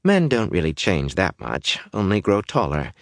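A man's voice: low voice, very animated, moderate pace, no room sound, clean studio sound, pitched above the performer's own voice. A man voicing a motherly village innkeeper woman in an audiobook, coaxing and soothing yet firm.

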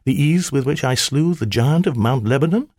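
A man's voice: medium pitch, expressive, normal speed, darkish tone, dry recording, clean studio sound, voice pushed up, close to an everyday voice. A man putting on a coy, simpering djinni-maiden. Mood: fawning. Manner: coy, wheedling, mock-sweet. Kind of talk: audiobook character voice.

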